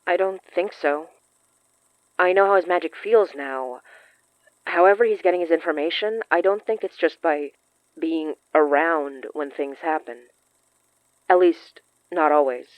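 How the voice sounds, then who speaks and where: A woman acting in a fiction podcast as a shaken, earnest young woman who confides shyly in halting phrases. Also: deep voice, dry recording, lo-fi sound. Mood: serious. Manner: hesitant, halting, confessional.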